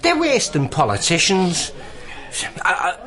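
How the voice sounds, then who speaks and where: An actor playing an excitable, deferential sidekick in a radio drama, stammering eager questions. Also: male voice, high-pitched, measured pace, exaggerated voice. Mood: excitable, curious.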